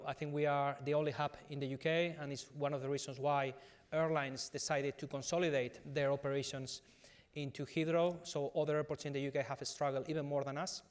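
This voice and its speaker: A middle-aged man with a Spanish accent, speaking earnestly at a business panel with many fillers and restarts. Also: fairly high pitch. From Spain. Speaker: company executive on a conference panel.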